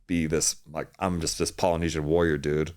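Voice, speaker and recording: A man's voice: very deep voice, little pitch movement, a little fast, a little mellow. A young man from Hawaii, a fitness coach, chatting easily as a podcast guest and laughing often. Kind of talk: casual interview chat.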